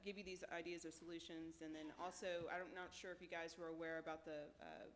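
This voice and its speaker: A woman's voice: sits low, level tone, brisk, a little hiss or hum. A woman presenting options at a city council meeting, hesitant and full of fillers. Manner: hesitant, many fillers, says she has a light voice. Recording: reverberant meeting hall.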